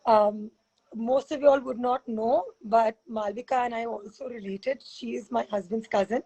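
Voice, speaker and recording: A woman hosting a relaxed interview over a video call, her voice somewhat high and husky from long days of teaching. She talks in a chatty, rambling way, with plenty of laughs. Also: normal speed, rough recording. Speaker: fitness expert and host.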